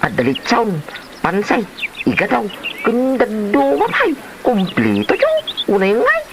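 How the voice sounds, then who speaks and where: A man's voice: sits high, huge pitch swings, unhurried, heavy background noise, full character voice. A man with an Ilocano accent playing a mischievous, greedy village husband in a comedy drama, full of exaggerated exclamations. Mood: eager. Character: mischievous, greedy village husband who raids grave offerings.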